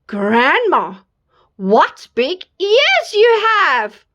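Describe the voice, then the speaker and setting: A woman's voice: very high-pitched, huge pitch swings, drawn-out words, fairly bright tone, muffled recording, pitched above the performer's own voice, full character voice. Woman doing a wide-eyed little girl. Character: small innocent young girl.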